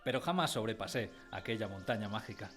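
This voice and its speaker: A man's voice: fairly low pitch, expressive, fast talker, fairly bright tone. A man playing a wistful narrator who recalls his village boyhood in a scripted radio drama. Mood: excited, dreamy. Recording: music under the voice.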